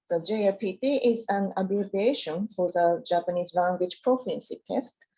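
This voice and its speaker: Mellow-voiced woman presenting slides formally. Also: medium pitch. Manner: careful, formal, reading from slides. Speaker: university presenter. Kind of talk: scripted presentation. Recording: dull lo-fi sound.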